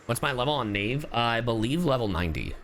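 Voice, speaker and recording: A man's voice: mid-range voice, animated, quick pace, a bit bright, soft background music. A man streaming casual live reactions to a game, joking and slangy. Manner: joking, slangy, breaks into humming and catchphrases.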